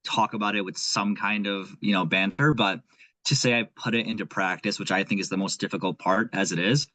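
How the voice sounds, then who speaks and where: Young Nepali-accented man, low dry voice. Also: brisk, dry recording. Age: young adult. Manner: earnest, animated, thinks aloud. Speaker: guest, aspiring investor. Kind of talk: casual conversation.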